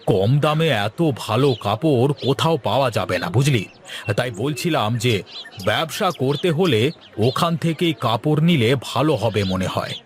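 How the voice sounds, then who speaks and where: An older village go-between in a cartoon, played by a man, talks in a familiar, persuading way. His pitch sits in the middle. Birdsong and faint noise run behind him. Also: animated, a little slow, natural voice. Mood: persuasive. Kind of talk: Bengali cartoon voice acting.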